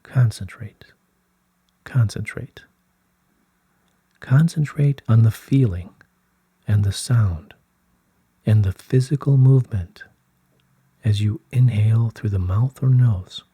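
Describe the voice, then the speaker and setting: A man reads spiritual guidance in a low, very mellow voice with a soft, breathy grain. He takes his time, speaking very slowly and solemnly in measured, soothing phrases. The sound is dry and close. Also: no room sound. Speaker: reader of channelled spiritual messages. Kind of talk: slow scripted reading of spiritual guidance.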